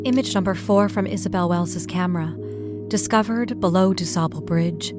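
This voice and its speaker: A woman's voice: on the low side, normal speed, music in the background, close to an everyday voice. An actress playing an intense woman who narrates surviving a Martian invasion in dramatic audio theatre. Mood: measured, descriptive.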